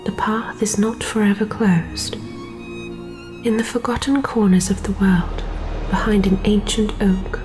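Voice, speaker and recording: A woman narrating a fairy legend for cinematic fantasy ASMR as a solemn, gentle storyteller, hushed and breathy, in measured phrases. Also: mid-range voice, fairly even intonation, music in the background, natural voice. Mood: hushed wonder.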